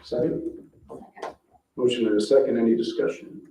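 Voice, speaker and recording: A man's voice: mid-range voice, fairly even intonation, moderate pace, vocal fry, dark tone. A man chairing a town board meeting in an informal, procedural way. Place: indoor public meeting room. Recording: muffled, echoey meeting room, distant mic.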